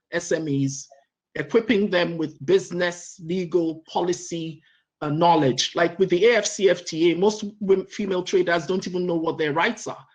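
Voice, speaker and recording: A woman's voice: on the low side, low-quality recording. A middle-aged woman, answering on a panel with energy, listing her points and checking in with "right?". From Nigeria. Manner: energetic, lists points, keeps adding "right?".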